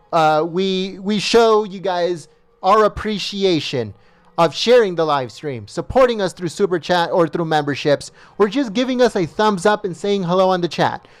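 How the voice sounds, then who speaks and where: A man with a high, smooth voice hosts a livestream, reading off viewer names one after another and thanking each the same way. His voice is wearing thin, and he trips now and then over names. The sound is dry and close to the mic. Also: no room sound. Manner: repetitive, reads names with small stumbles. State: losing his voice. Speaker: livestream host.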